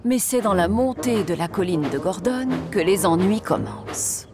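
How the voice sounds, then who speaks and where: A woman's voice: medium pitch, expressive, moderate pace, over loud music. A woman narrator reading a scripted story for a children's cartoon in a storytelling style.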